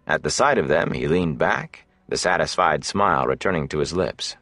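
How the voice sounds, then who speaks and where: A man's voice: very deep voice, lively intonation, normal speed, fairly bright tone, no room sound. A man reading a fantasy audiobook as dramatic scripted narration, close to the microphone.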